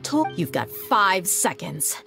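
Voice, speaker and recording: A woman's voice: on the low side, big pitch swings, takes it slowly, creaky, pushed and bright, faint music behind, full character voice. A woman voicing a tough, confident and shrewd mercenary in a video game, blunt and assured. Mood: menacing.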